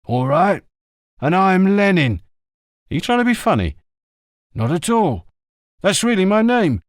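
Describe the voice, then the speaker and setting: A quarrelsome old shopkeeper from a storybook, indignant and quick to curse, as the narrator puts him on. His voice sits well above a normal speaking pitch, with a strained, faraway timbre and lots of swing. It is recorded dry and close. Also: big pitch swings, no room sound. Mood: sarcastic. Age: older. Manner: indignant, swearing, sarcastic. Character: gruff elderly male greengrocer, quarrelsome. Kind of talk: audiobook character voice.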